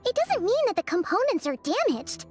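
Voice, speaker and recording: A woman's voice: very high voice, very animated, moderate pace, faint music behind. A woman voicing a small, childlike fairy companion in a video game, chirpy, earnest and emphatic. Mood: earnest, explaining. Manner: refers to herself in third person, emphatic.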